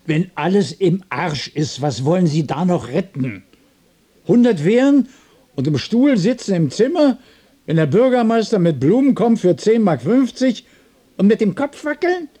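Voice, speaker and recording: An actor playing a distraught, irritable loner in a radio drama, musing in broken repetitions and turning cranky. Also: male voice, high voice, big pitch swings, unhurried, dark tone, breathy edge, exaggerated voice. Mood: bitter. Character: distraught, irritable, restless loner adrift in the world. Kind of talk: literary radio drama monologue and dialogue.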